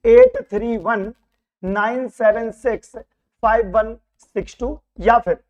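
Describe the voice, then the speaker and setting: A man who speaks with a Madhya Pradesh Hindi accent and has a hoarse, worn throat, giving students an excited, reassuring result update as their coaching teacher. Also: very high-pitched, takes it slowly, dull audio. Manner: excited, reassuring, repeats phrases. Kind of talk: casual direct-to-camera update.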